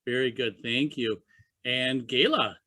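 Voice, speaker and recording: A man's voice: medium pitch, unhurried, pushed and bright, no room sound. Man moderating a video call, dry sound. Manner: brief, friendly interjections. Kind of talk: moderating introductions.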